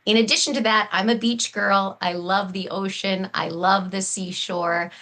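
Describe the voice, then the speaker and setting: A woman's voice: mid-range voice, fairly even intonation, moderate pace, fairly bright tone. A woman speaking as a guest author, explaining earnestly in full, flowing, articulate sentences. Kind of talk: explanatory interview talk.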